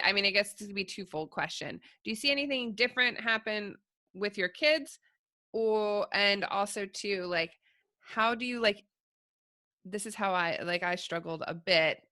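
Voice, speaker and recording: A woman's voice: medium pitch, bright, forceful tone, dry recording, studio quality. A woman hosting a podcast interview, asking long and searching questions in a casual way. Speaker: podcast host.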